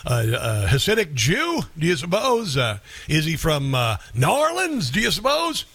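A man's voice: on the high side, huge pitch swings, normal speed, a bit bright, breathy edge, dry recording. A male radio talk show host delivering an indignant, scoffing opinion monologue into a studio microphone.

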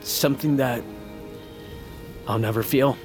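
An actor playing a wounded, bitter young noble son in a fantasy audio drama, earnest and brooding, with halting delivery. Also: male voice, mid-range voice, lightly acted. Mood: sorrowful, confessional. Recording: music under the voice.

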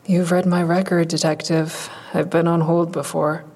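An actress playing a guarded, resigned woman in psychiatric care, with a husky, dry, deadpan delivery in an audio drama. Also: on the low side, moderate pace.

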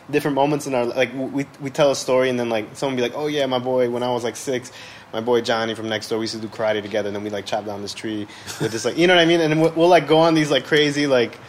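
A man's voice: mid-range voice, very fast. A man in his thirties chatting casually as an interview guest, slangy and rambling, with lots of "like.". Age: 30s. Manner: rambling, heavy use of "like", slangy. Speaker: musician, podcast guest. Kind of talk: casual chat. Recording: noticeable background noise.

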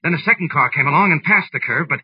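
A man's voice: on the high side, animated, fast, breathy edge. A man acting an earnest, worried business executive with a proper manner in a 1940s radio drama. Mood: alarmed. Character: earnest, anxious young male business executive in love. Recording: muffled old-time radio recording.

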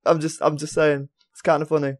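A man's voice: on the high side, level tone, fairly quick. A man chatting casually as a panelist on a podcast. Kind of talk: casual chat.

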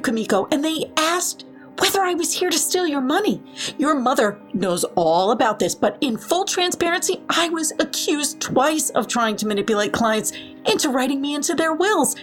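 A woman's voice: high-pitched, expressive, normal speed, soft background music. A woman playing a defensive, rattled professional caregiver in an audio drama, earnest and emphatic. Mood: rattled, explaining. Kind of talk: naturalistic audio drama acting.

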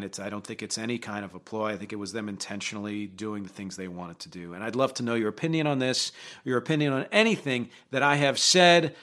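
A man's voice: medium pitch, fairly bright tone. A man hosting a music show, talking through songs in a reflective, conversational way and putting questions to his viewers. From not from Glasgow. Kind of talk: casual music commentary to camera.

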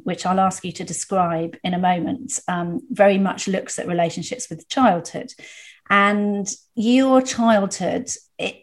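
A woman in her fifties hosts a podcast conversation. She talks in a middle-pitched voice and chats easily, slipping in jokes and little stand-up style stories as she asks her questions. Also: a little mellow. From England. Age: 50s. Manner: chatty and jokey, with storytelling asides. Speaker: podcast host and stand-up comedian. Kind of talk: conversational interview.

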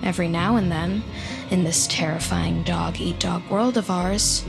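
A woman's voice: lowish voice, fairly even intonation, gravelly, over music. An actress playing a lonely, overworked New York woman in an audio drama, cynical and awkward. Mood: wry, brooding. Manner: wry when narrating, halting and flustered with others.

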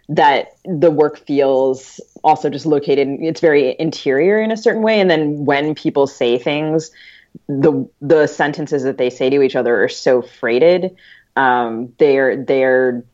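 Low-voiced woman searching for words, dull audio. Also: a little mellow. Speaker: podcast co-host. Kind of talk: thoughtful literary interview.

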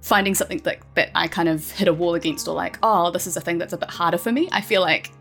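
A woman's voice: fairly low pitch, fast talker, a bit bright, soft background music. A woman in casual, reflective discussion as a guest writer, feeling out her thoughts with tentative phrasing. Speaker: audio fiction writer, podcast guest.

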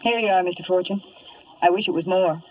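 A woman playing a gentle, sympathetic, hesitant young woman in a 1950s radio drama. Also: on the low side, fairly quick, no echo, distant mic, lightly acted. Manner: soft-hearted, hesitant. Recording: muffled 1950s radio, off-mic.

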